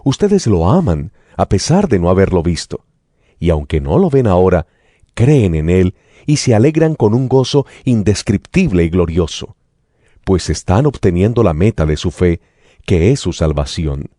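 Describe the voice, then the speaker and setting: A man narrating a dramatized Bible reading in a solemn, measured declamation. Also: low voice, very animated, dark tone, no echo, clean studio sound. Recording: music bed under the voice.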